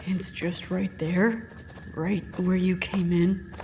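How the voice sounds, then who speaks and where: A woman's voice: fairly low pitch, fairly even intonation, takes it slowly, mellow, slight background noise. A woman acting in an audio drama as an anxious, weary, earnest young traveler, hesitant and plaintive. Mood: shaken.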